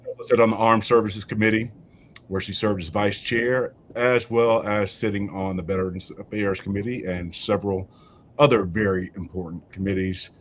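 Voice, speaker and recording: A man's voice: fairly low pitch, fairly even intonation, moderate pace, slight background noise. A man from Alabama hosting a political podcast, talking in an emphatic, conversational way. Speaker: podcast host.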